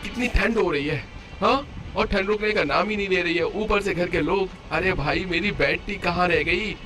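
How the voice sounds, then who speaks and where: A man's voice: sits high, normal speed, sharp tone. A man voicing an arrogant, short-tempered, sarcastic son-in-law who whines and complains in a cartoon story. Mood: irritated, demanding. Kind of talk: Hindi cartoon story dialogue. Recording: clear background noise under voice.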